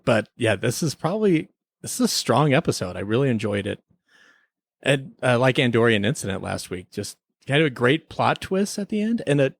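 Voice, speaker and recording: A male podcast host talks casually in a dry, close recording. His delivery is wry and mock-earnest, sliding between relaxed review chat and playful skit. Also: on the low side, vocal fry, no echo.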